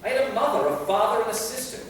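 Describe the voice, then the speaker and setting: A man's voice: very high-pitched, lively intonation, fast talker. A man playing an anguished, weary and bitter immortal vampire in a staged reading. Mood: despairing. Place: theater, recorded from the audience distance. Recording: echoey hall from far off, faint noise.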